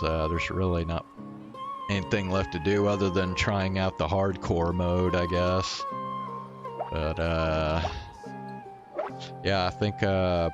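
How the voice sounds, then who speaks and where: Male streamer, very deep and slow, hesitant casual chat, game music behind. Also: muffled recording. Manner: hesitant, musing, trailing off. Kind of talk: casual livestream chat to viewers. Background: video game music.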